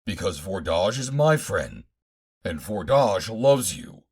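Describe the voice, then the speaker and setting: A man voicing an earnest, resolute male adventure hero in an audiobook, firm, deliberate and declamatory. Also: sits low, sing-song, slightly rough, full character voice.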